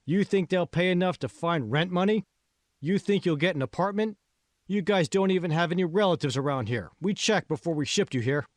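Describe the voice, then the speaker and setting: A man's voice: high voice, lively intonation, fairly quick, dry recording, pitched above the performer's own voice. A man voicing a bossy, irritable foreman in an audiobook, coarse and impatient, haranguing his crew. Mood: bossy, persuading. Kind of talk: audiobook character dialogue.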